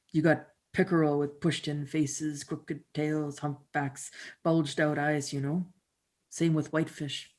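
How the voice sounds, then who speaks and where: Woman voicing a quiet, grieving old fisherman. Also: on the high side. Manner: quiet, somber, folksy with repeated "you know". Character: older male trapper and fisherman, grieving, plain-spoken.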